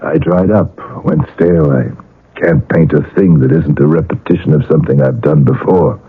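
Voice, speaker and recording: A weary, brooding painter speaks in a very deep, husky voice. His phrases come haltingly, with a desperate edge. It is 1970s radio drama with a thin, band-limited old broadcast sound. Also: narrow, tinny audio, heavily acted. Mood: desperate, bitter. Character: weary, desperate male painter, middle-aged or older, brooding.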